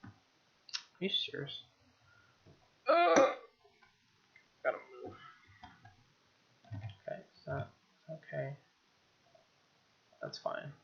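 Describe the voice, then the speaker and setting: A man's voice: fairly high pitch, wildly swooping pitch, very slow, gravelly, long pauses, muffled recording, slight background noise. A man hosting a game stream, chatting casually and reading narration aloud, with muttered offhand asides. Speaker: streamer, let's play host. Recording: music playing behind voice. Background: game music.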